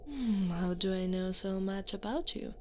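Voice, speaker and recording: An Italian woman playing a tall, teasing Krampus monster woman in a soft, breathy ASMR roleplay, often dropping into a whisper. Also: mid-range voice, unhurried, slight background noise, lightly acted. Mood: teasing, sly. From Italy. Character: eight-foot horned furry Krampus woman, teasing, coaxing, in control.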